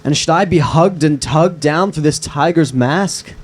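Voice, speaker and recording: A man reading the part of a calm, teasing young man and close friend at a live screenplay table read. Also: a little high, unhurried, natural voice.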